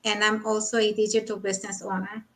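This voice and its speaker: A woman's voice: medium pitch, flat delivery, fast. A woman from Mexico speaking informally as a co-host on an online talk show. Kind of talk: informal self-introduction and interviewing.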